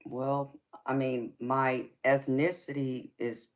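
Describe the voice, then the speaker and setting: Slow-talking Southern woman chatting live. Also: extremely low pitch, level tone. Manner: chatty, laughs often, calls viewers baby. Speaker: tarot reader hosting a live stream. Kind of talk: casual live chat with viewers.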